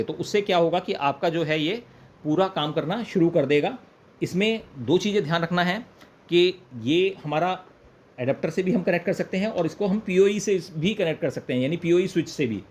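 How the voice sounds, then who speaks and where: An Indian man presenting an informal product demonstration, explaining step by step, repeating points and mixing in English phrases. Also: fairly high pitch. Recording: clear background noise, room ambience.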